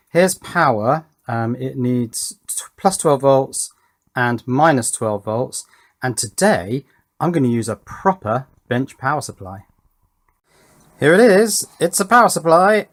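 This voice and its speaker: Wry man giving a hands-on electronics demonstration. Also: a little high. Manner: wry, dry, understated humour. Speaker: electronics hobbyist presenter. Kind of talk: casual hands-on demonstration commentary.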